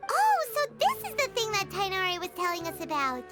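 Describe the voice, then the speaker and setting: A woman voicing a tiny, excitable, childlike fairy companion girl in a video game, with a squeaky voice and an exclamatory manner. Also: brisk, loud music behind the voice, exaggerated voice. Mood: excited, curious. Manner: exclamatory, speaks of herself in third person.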